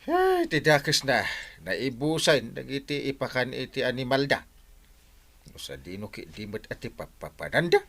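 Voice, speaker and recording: A man acts out a grumbling male village captain in an Ilocano comedy drama. His pitch leaps around in animated complaints, with an Ilocano Filipino accent. The sound is dry and middling in pitch. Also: moderate pace, creaky, no echo, exaggerated voice. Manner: colloquial, exclaiming, complaining. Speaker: lead actor in comedy drama.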